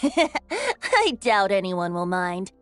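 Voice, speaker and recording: A woman's voice: mid-range voice, wildly swooping pitch, very slow, fairly bright tone, no echo, soft background music, heavily acted. A woman voicing a carefree, wry, boyish young male bard in a video game, with an easygoing manner. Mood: amused, easygoing.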